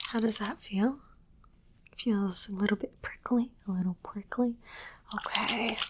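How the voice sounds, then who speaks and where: A woman playing a calm alien examiner in an ASMR roleplay, whispering softly and slowly, with clinical reassurance. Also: mid-range voice. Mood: soothing, clinical. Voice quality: breathy whisper. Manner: soft, unhurried, repetitive, clinically reassuring. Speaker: ASMR performer.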